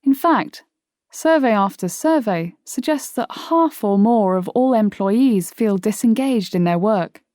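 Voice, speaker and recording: Female author-narrator for nonfiction audiobook: articulate, measured delivery, mid-range pitch, very dry studio. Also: lively intonation, warm tone, no room sound. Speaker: author reading own audiobook.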